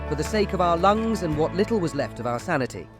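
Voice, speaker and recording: A man's voice: on the high side, very animated, quick pace, over music, pitched above the performer's own voice. A man voicing his own sarcastic British inner voice in vlog voice-over, cheeky and grumbling. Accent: British, put on.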